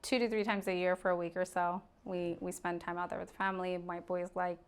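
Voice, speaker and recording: A woman's voice: on the low side, little pitch movement, fairly quick, clear, resonant tone. A woman in her thirties from Arizona, a real estate agent talking openly and chattily as a podcast guest. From Arizona, USA. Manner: open, chatty, laughs easily. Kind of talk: casual podcast interview.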